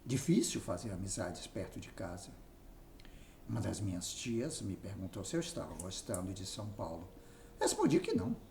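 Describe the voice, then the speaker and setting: A man with a Brazilian accent narrating a written memoir aloud in an expressive reading style. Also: sits low, normal speed, creaky. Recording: roomy echo, faint background noise.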